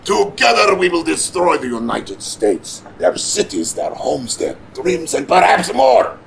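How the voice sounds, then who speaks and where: An actor playing a scheming, middle-aged Soviet premier with a Russian accent, pompous and mock-courteous, in a campy game cutscene. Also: male voice, fairly high pitch, big pitch swings, measured pace, creaky, a little hiss or hum, exaggerated voice. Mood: gleeful, genial. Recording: music underneath.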